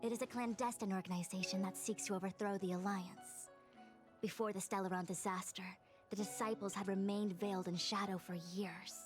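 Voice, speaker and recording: A woman's voice: mid-range voice, fairly even intonation, brisk, natural voice. A woman playing a composed, proud young diviner and commander in an anime-style video game, formal and authoritative. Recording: game music behind.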